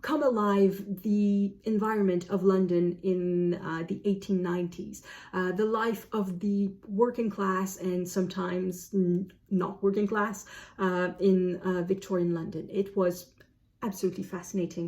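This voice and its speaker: A woman's voice: mid-range voice, little pitch movement, moderate pace, a little mellow. Woman chatting casually, hesitant and rephrasing. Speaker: book vlogger, host. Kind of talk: casual talk to camera, book review.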